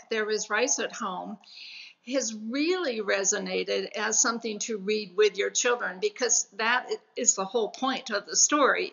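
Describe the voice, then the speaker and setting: A woman's voice: medium pitch, creaky, sharp tone. An older woman moderating a panel conversation as host over a video call. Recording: dull, lo-fi video call audio.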